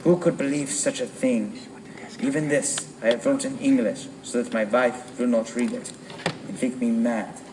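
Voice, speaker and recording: A man's voice: medium pitch, fairly even intonation, moderate pace, natural voice. A man as a haunted sailor, distant and noisy. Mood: weary, despairing. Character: haunted sailor, lone survivor writing his grim testimony. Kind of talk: dramatic first-person narration in a radio play. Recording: distant mic with loud background noise.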